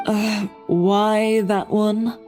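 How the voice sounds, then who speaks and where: A woman playing a cool, composed, stylish young woman in an anime video game, measured and dryly teasing. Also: mid-range voice, little pitch movement, warm tone. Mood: dry, reserved. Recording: faint music behind.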